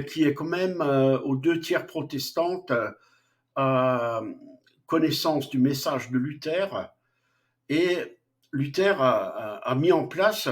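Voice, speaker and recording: A man lecturing on philosophy at an unhurried, deliberate pace. He talks off the cuff, stopping often for fillers and restarting his sentences. His voice sits at an ordinary middle pitch. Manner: slow, hesitant, frequent fillers and restarts. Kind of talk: unscripted lecture.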